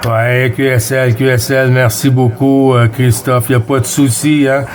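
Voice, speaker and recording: A man with a Quebec French accent chats casually as a CB radio operator, speaking in short phrases with drawn-out hesitations. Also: medium pitch, flat delivery, slight background noise. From Quebec, Canada. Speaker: CB radio operator running a round-table contact. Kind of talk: casual amateur radio chat.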